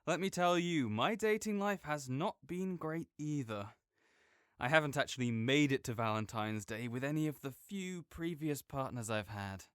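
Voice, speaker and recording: A young man playing a caring, easygoing friend who speaks gently and reassuringly to the listener in an audio roleplay, with some soft joking. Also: fairly high pitch, lively intonation, normal speed, smooth, no room sound, clean studio sound.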